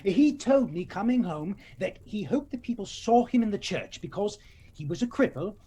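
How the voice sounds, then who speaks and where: An actor playing a gentle, devoted poor father in a Victorian audio play, tender and expressive. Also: high voice, normal speed, slight background noise. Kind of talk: audio play acting, old radio drama style.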